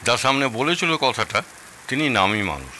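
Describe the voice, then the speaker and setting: A man's voice: medium pitch, wildly swooping pitch, brisk, bright, forceful tone, a little hiss or hum, exaggerated voice. An actor playing a boastful, emphatic older father-in-law with a Kolkata Bengali accent in an audio drama.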